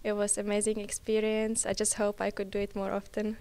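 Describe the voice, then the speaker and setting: Young czech woman, clear smooth voice, pretty even tone, answering sports interview questions. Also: mid-range voice, brisk. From Czech Republic. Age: early 20s. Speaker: college tennis player being interviewed.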